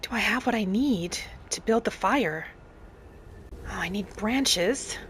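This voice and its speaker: A woman playing a gentle, earnest female wood-elf adventurer, musing aloud as she narrates a roleplay game in character. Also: medium pitch, slow, slight background noise. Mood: thoughtful.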